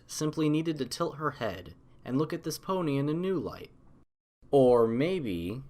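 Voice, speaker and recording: Guy reading an audiobook story, earnest and kinda dramatic, medium pitched voice. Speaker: audiobook narrator. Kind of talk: scripted story narration.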